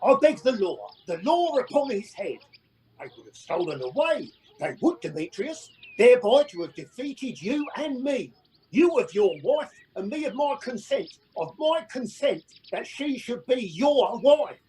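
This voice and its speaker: An indignant old father acted by a man stammers and repeats himself in a radio Shakespeare. His pitch swings widely, with faint noise behind. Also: sing-song, a little slow, mellow, heavily acted, rough recording. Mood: outraged.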